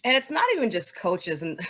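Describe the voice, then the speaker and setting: A woman's voice: fairly low pitch, sing-song, moderate pace, sharp tone. An American woman speaking as an interview guest in a conversational, earnest and emphatic way, like an advocate.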